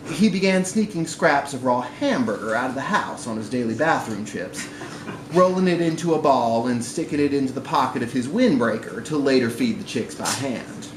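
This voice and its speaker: Male story reader, theatrical narration, lively pitch, live bar event, echoey recording. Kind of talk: expressive literary narration read to an audience. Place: live reading in a bar room. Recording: echoey live room recording with background noise.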